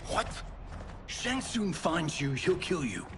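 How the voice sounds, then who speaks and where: Wisecracking American game hero: loyal, brash, slangy, higher-pitched, very lively intonation. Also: male voice, slow pace, background noise. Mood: urgent, encouraging. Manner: colloquial, animated, flippant. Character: brash, loyal, wisecracking movie-star action hero man. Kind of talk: casual video game acting.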